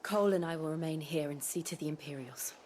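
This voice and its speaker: An actress playing a calm, solemn young noblewoman with a soft, breathy voice in a game cutscene. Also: low-pitched, fast talker, close to an everyday voice. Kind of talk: dramatic video game cutscene acting.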